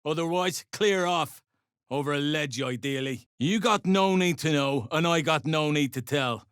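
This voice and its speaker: An actor playing a gruff, greedy, foul-mouthed dwarf overseer in a video game, bossy and sarcastic in clipped phrases. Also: male voice, fairly high pitch, moderate pace, a bit bright, no echo, studio quality, natural voice. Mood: bossy, sneering. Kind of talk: video game character acting.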